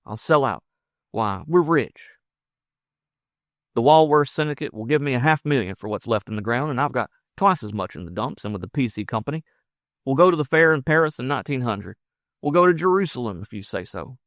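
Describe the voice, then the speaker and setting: A man reading as a bold, lovestruck miner from a frontier storybook. He pushes his words out with emphasis, by turns combative and coaxing, in a medium-pitched voice recorded dry and close. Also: expressive, brisk, fairly bright tone, no echo. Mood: excited, boastful. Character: belligerent, ardent gold-rush miner in love, man. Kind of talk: audiobook character dialogue.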